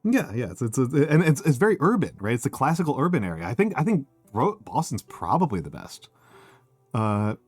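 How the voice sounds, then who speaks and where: An American man chatting casually on a livestream, talking in halting bursts full of fillers and restarts. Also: mid-range voice, very animated, breathy edge, no echo, soft background music. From United States. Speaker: streamer. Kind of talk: casual chat.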